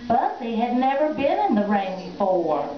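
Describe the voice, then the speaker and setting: A woman's voice: a little high, very animated, moderate pace, slight background noise. A woman narrating a scripted children's story as the storyteller. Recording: echoey room, muffled, crowd sounds behind.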